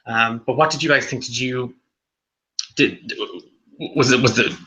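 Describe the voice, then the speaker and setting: An Irish man hosting a casual book discussion, talking in halting bursts with many restarts. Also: mid-range voice, steady tone, bright, forceful tone, low-quality recording. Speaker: book club host.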